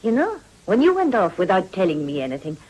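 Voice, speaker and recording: A woman's voice: medium pitch, huge pitch swings, fast talker, darkish tone, breathy edge, poor audio. An actress playing a worldly upper-class British society lady in a period radio drama, gracious, teasing and inquisitive. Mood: gracious, affectionate.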